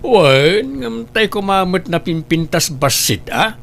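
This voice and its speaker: A man's voice: high-pitched, very animated, slow pace, vocal fry, a bit bright, full character voice. A man with a Filipino accent playing a stern, nagging middle-aged father and uncle in a comedy drama, scolding and questioning. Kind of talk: amateur comedy drama acting.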